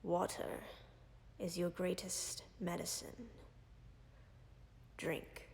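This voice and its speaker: A woman voicing a gravelly, gentle dragon. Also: fairly low pitch, fairly even intonation, long reverb tail, slight background noise, exaggerated voice. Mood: calm, tender. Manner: soft, measured, often near-whispered. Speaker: voice actor in audio roleplay. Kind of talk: audio roleplay for a listener.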